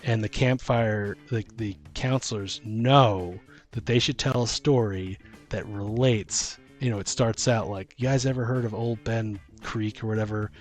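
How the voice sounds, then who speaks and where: A man's voice: on the low side, fairly even intonation, a little slow. A man co-hosting a casual podcast about tabletop gaming, talking as a dungeon master in a rambling way, thinking aloud. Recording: music playing behind the talk. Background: music.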